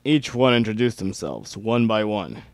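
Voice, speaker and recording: A man's voice: fairly low pitch, expressive, normal speed, no echo. A young man giving an amateur dramatic reading of a first-person story.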